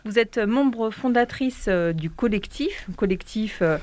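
A woman with a fairly high voice presents local radio news and interviews guests. She reads the items in a clear, steady way, then asks questions with plenty of pauses and fillers. The sound is dry and close, with no room around it. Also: no room sound. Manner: hesitant with many fillers when asking questions. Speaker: radio host.

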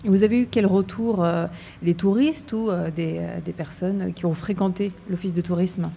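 Female radio host, mellow voice, steady announcing, light hiss behind. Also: lowish voice, moderate pace, gravelly. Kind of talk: live radio presenting.

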